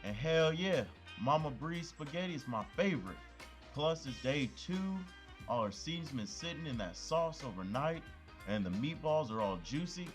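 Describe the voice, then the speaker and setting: A man's voice: fairly high pitch, expressive, moderate pace, soft background music, close to an everyday voice. A man playing a cheeky young best friend who loves food and talks in loose, slangy banter. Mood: joking. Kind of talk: amateur audio drama acting.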